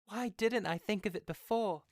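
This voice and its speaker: Man voicing a frantic, high-pitched old woman. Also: normal speed, no room sound, clean studio sound, close to an everyday voice. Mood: excited, urgent. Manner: wild, urgent cries and commands. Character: frantic, desperate old woman, grieving mother.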